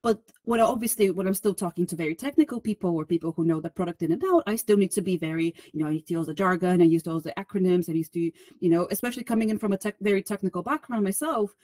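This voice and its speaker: Chatty Bulgarian-born woman, mellow voice. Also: fairly low pitch, racing, few pauses, dry recording. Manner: chatty, self-deprecating, many restarts and fillers. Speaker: podcast guest, solutions engineer. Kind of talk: conversational interview.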